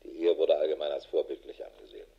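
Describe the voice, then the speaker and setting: An actor playing a harried, middle-aged police investigator in a radio drama, matter-of-fact and dry. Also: male voice, extremely low pitch, flat delivery, fast talker, husky, muffled recording, exaggerated voice. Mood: sober, dictating. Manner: matter-of-fact, dry, clipped official phrasing. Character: harried, world-weary male police investigator with a wife. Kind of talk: German radio drama acting, dictated report.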